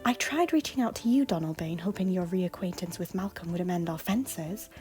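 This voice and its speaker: A woman's voice: lowish voice, a little fast, darkish tone, soft background music, close to an everyday voice. A woman voicing a widowed Scottish queen with a guarded, secretive manner. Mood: hushed. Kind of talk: fan audio drama acting.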